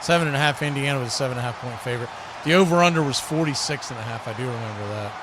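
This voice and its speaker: A man doing live football play-by-play and chat as the host of a broadcast. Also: rapid-fire. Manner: excitable, shouts on big plays, drops low in asides. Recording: crowd and game noise behind the voice.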